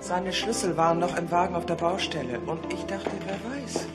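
An actress playing a dogged middle-aged police secretary turned amateur sleuth in a naturalistic German radio play. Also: female voice, lowish voice, steady tone, normal speed, loud music behind the voice, close to an everyday voice. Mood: eager, evasive.

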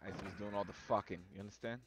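A man's voice: lowish voice, fairly even intonation, rapid-fire, very noisy. A man chatting casually while playing a multiplayer game, joking and swearing. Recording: loud gunfire over game voice chat.

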